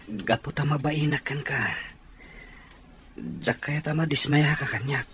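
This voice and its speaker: A man's voice: a little high, measured pace, crowd noise, natural voice. A man with an Ilocano accent playing an apologetic, embarrassed young man, a devoted son, in a radio drama. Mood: ashamed, hesitant.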